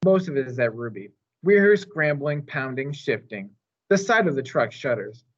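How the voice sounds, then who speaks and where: A man reading a children's story aloud as narrator, in an expressive storytime style. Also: medium pitch, moderate pace, dull audio. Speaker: storytime reader.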